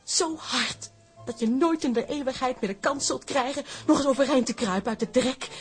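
A woman's voice: high-pitched, normal speed, breathy edge, soft background music. An actress playing a passionate, lovestruck female newspaper reporter in a Dutch drama, emotional and intense. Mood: furious. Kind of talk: Dutch broadcast drama acting.

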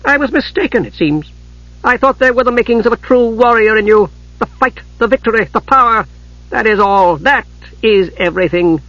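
An imperious warrior mother from a fantasy tale, acted out by a male narrator. The voice is lifted high and pushed hard, full of cold scorn and command. The sound is close and dry, slightly dulled. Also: moderate pace, fairly bright tone, no echo, lo-fi sound. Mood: menacing. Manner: sneering, commanding, emphatic.

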